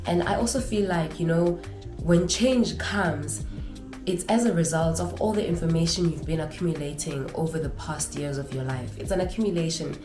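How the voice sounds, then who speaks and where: A woman's voice: low voice, normal speed, echoey room, music in the background. A young woman vlogger sharing casual life advice to camera, sounding nasal and congested from a lingering infection.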